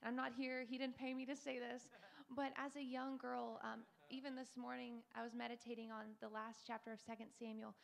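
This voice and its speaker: A woman's voice: a little high, level tone, a little fast. A young woman in her twenties giving a fervent preaching testimony on a church panel. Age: 20s. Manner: fervent, breathy preaching, mimics tongues. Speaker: panel speaker.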